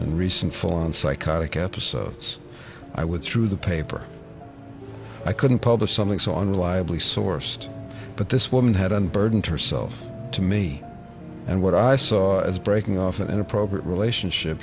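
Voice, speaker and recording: Troubled male agent, low register, subdued and halting, audio drama with soft score. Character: troubled male FBI agent, haunted and guilty, wryly defensive. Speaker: FBI special agent.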